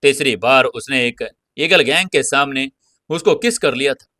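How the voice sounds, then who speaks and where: A man's voice: mid-range voice, unhurried, bright, forceful tone, dry recording, studio quality. A man narrating an audio serial in a dramatic, scripted storytelling style.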